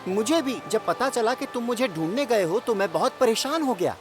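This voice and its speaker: A high, boyish voice acted by a woman for an excitable young cartoon locomotive. It swoops expressively up and down, loyal and keen, over a clear music bed. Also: fairly quick, lightly acted. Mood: concerned. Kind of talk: animated children's cartoon dub.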